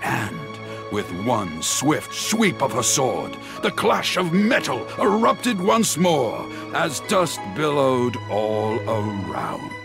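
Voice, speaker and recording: An actor playing a dramatic street storyteller in a game, telling heroic tales grandly with suspenseful pauses. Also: male voice, mid-range voice, wildly swooping pitch, vocal fry, heavily acted. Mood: grandiose, suspenseful. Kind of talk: theatrical storyteller narration. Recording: background music under voice.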